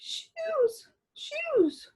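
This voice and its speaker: A woman's voice: very high voice, sing-song, extremely slow, darkish tone, pitched above the performer's own voice, exaggerated voice. A woman voicing a dainty, dramatic and polite lady character in a children's storybook, with a theatrical manner. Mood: weak muttering. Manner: theatrical, swinging from exclamation to sighing politeness.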